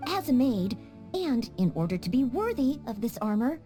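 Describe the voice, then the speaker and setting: An actress voicing an earnest, polite young maid training to be a knight in a game cutscene, with small hesitant stammers. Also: female voice, a little high, sing-song, normal speed, dark tone, soft background music. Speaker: game character.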